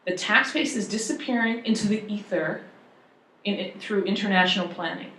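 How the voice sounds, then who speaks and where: A woman's voice: mid-range voice, normal speed, a bit bright, slight background noise. A tax law professor, a woman from Ontario, talking on a panel in a wry, chatty, self-deprecating way. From Ontario, Canada. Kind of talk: conversational panel talk. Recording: echoey room.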